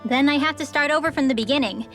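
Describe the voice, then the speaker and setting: An actress playing a friendly, confident young mage and guide in a video game, explaining things readily. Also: female voice, sits high, quick pace, a bit bright, faint music behind. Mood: explanatory, modest.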